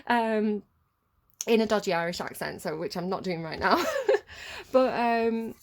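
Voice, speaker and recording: A woman's voice: medium pitch, measured pace. A British woman giving a casual tarot reading, chatty and rambling, laughing often. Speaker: tarot reader.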